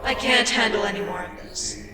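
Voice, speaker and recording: A woman playing a weary, irritable ancient eldritch deity in a fantasy ASMR audio roleplay, sardonic and theatrical, with deliberate pauses. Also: fairly high pitch, close to an everyday voice. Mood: sardonic, resigned. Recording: echoing long reverb with loud music. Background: loud music.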